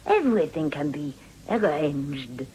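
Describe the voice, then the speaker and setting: An actress playing a worldly, coolly pragmatic woman, deliberate and sophisticated in manner. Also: low voice, sing-song, darkish tone, slightly rough, exaggerated voice. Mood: cool pragmatism. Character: worldly, pragmatic French-speaking mistress, coolly discreet woman.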